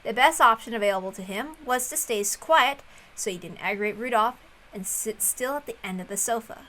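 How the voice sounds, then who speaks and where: Bright-voiced woman reading a story. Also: fairly high pitch, a little fast. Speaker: reader of a visual novel. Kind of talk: expressive narration read aloud.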